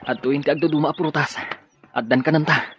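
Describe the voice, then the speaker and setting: A man's voice: fairly high pitch, steady tone, quick pace, over music, close to an everyday voice. A man playing a resourceful castaway in a radio drama, reassuring his companion in a matter-of-fact way. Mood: practical. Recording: dull audio with faint ambient noise.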